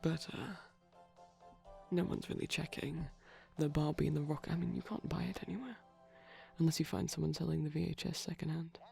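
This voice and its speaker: A young adult performer playing a shy, nerdy boyfriend in a casual, soft-spoken ASMR ramble, enthusiastic but hesitant. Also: mid-range voice, steady tone, fairly quick, gravelly, soft background music.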